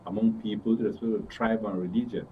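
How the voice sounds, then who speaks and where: Low, dull-sounding Nigerian man, measured. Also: fairly even intonation. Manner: measured, halting, cautious. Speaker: studio guest. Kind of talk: interview commentary. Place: radio and TV studio. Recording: dull distant mic, background noise.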